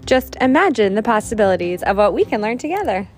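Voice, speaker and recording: A woman's voice: mid-range voice, very animated, fast, faint music behind. A woman co-hosting a show in a scripted presenting style. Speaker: podcast co-host.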